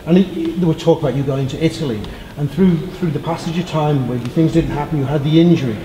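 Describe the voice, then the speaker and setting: Mellow-voiced man from North London, talking fast. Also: a little high. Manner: stammering restarts, chatty. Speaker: co-host. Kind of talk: casual interview chat. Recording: echoey room with faint noise.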